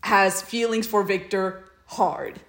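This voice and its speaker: A woman's voice: mid-range voice, steady tone, slow pace, a bit bright. A woman co-hosting a casual fan podcast, talking with gushing enthusiasm. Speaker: podcast co-host.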